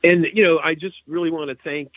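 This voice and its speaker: A man's voice: on the high side, steady tone, brisk, no echo. Man on a tinny phone-like line. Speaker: podcast guest, video channel owner. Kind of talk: casual chat.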